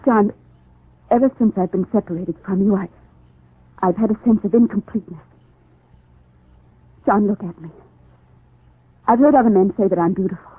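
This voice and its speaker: A woman's voice: medium pitch, slightly rough, natural voice. Actress as pleading woman in love, insistent, intense, old radio soap, echoey thin sound. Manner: intense, imploring, deliberate. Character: lovelorn, insistent woman pleading with the man she loves. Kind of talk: 1940s radio soap opera acting. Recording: tinny, echoey 1940s radio recording.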